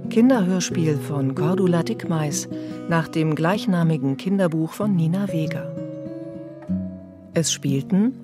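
A woman's voice: deep voice, a little slow. A woman reading the closing credits of a radio play as a scripted announcement. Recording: music behind the voice.

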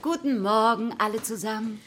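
A woman's voice: fairly high pitch, normal speed, background noise, close to an everyday voice. A woman playing a patient, encouraging schoolteacher addressing a class in a naturalistic radio play. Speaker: primary school teacher.

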